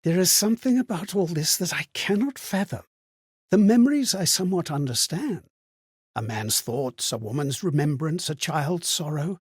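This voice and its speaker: Casting: a wistful, memory-lost ghostly knight for a fantasy game; raised pitch, husky, solemn and old-fashioned, very dry sound. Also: male voice, lively intonation, moderate pace, no room sound. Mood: pensive. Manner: solemn, archaic, ponderous phrasing. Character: haunted, amnesiac former Templar, wistful ghostly man. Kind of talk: dramatic video game character monologue.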